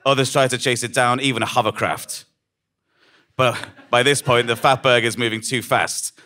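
A man's voice: medium pitch, steady tone, normal speed, sharp tone. A man reading a scripted story live on stage as dramatic narration, grave and emphatic. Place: on a small theatre stage before a seated audience. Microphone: stand microphone.

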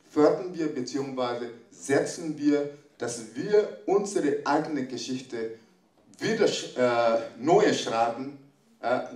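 A middle-aged man speaking at a panel, not a native German speaker, halting with many fillers and restarts, holding a handheld mic. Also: mid-range voice, darkish tone, far from the mic. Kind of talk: panel talk. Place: large echoey conference room. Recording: echoey room, mic held off.

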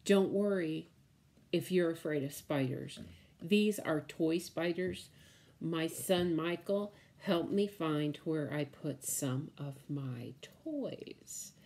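A middle-aged woman reads a picture book to little children in a fairly low voice. Her delivery is very slow and deliberate, with words drawn out and simply explained, as a preschool teacher would at story time. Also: warm tone. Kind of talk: picture-book read-aloud for small children. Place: indoors, room at home with window blinds.

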